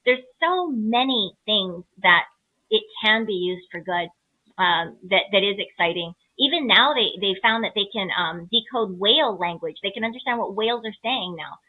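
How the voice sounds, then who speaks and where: A woman's voice: medium pitch, normal speed, narrow, tinny audio. A woman speaking as a guest in casual explanatory chat, with an enthusiastic manner. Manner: enthusiastic, animated phrasing. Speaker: astrologer, interview guest.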